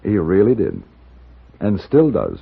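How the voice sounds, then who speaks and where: An actor playing a cool, laconic gun-for-hire with a dry, husky delivery in a 1950s radio western. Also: male voice, low-pitched, wildly swooping pitch, measured pace, slight background noise, exaggerated voice. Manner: dry, unruffled. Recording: tinny, band-limited old radio recording.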